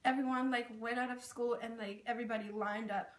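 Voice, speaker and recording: A woman's voice: on the high side, fairly even intonation, brisk. A young woman from Burma, raised in Thailand, whose first language is Karen, casually telling personal stories with lots of "like" and "um". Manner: chatty, with many "like" and "um" fillers. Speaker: interviewee sharing her experience. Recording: roomy, distant mic.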